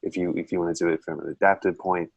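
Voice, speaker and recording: Man, deep voice, dry sound, casual reviewer feedback, thinking aloud, video call. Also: steady tone, fast, gravelly, no echo, lo-fi sound. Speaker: manager reviewing a student team's check-in.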